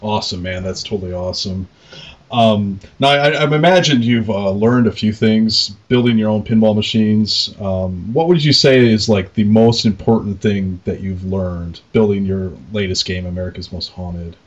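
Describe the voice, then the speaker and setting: A man's voice: lowish voice, moderate pace, highs cut off. A man interviewing a guest as a podcast host, chatting in a laid-back, informal way. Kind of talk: casual interview chat.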